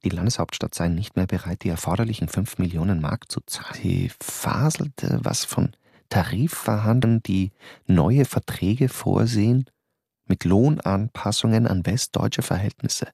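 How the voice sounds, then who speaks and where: A man's voice: fairly low pitch, moderate pace, creaky, warm tone, husky, dry recording, studio quality. A man playing a restless, insistent Austrian stage actor in a radio drama, telling his story and arguing. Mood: weary, reflective. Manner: insistent, probing. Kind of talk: radio drama narration and dialogue.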